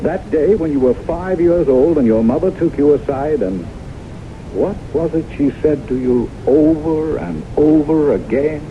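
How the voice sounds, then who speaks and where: An actor playing an earnest, persuasive doctor and devoted lover, with emphatic, deliberate phrasing in 1970s radio drama style. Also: male voice, on the high side. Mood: probing. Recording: noisy, muffled old radio broadcast recording.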